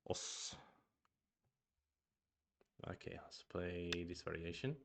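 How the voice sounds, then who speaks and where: A man's voice: sits low, lively intonation, takes it slowly, gravelly, background noise. A man streaming a review of his own go games, thinking aloud in a casual chat with murmured asides and restarts; his first language is Swedish.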